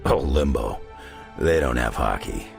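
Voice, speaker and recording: A rugged middle-aged brawler in a game cutscene, speaking in an extremely low rumble full of gravel. He is gruff and sardonic, tossing off folksy, laconic remarks like a veteran of many fights. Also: expressive, measured pace, over music, exaggerated voice. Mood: dry, sardonic. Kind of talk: video game cutscene dialogue.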